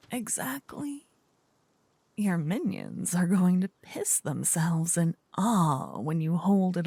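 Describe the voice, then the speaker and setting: A woman's voice: medium pitch, mellow, no room sound, full character voice. A woman voicing a seductive, possessive demon queen in an intimate fantasy ASMR roleplay, often whispering, with teasing, smug menace. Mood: teasing, condescending. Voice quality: breathy, husky, often whispering. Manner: slow, teasing, doting menace. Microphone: close, dry microphone.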